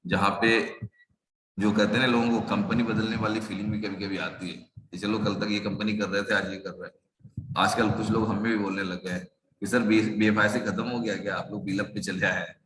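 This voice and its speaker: A hoarse man, a network marketing leader, giving a rousing motivational pitch to an online meeting, full of repetition and rhetorical questions. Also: steady tone, normal speed, fairly bright tone, roomy sound, rough recording. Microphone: video call.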